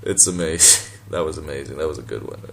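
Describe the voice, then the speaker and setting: A man from Malta, a native Maltese speaker, with a deep voice, talking casually and sardonically in a response video, laughing and swearing as he goes. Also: fast talker, gravelly, fairly bright tone, slight background noise. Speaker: boxing channel vlogger.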